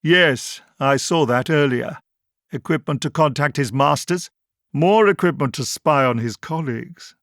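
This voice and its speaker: Sci-fi doctor hero , acted by a male narrator: grave, deliberate, accusing, raised pitch, dry recording. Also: a bit bright, no echo. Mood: musing.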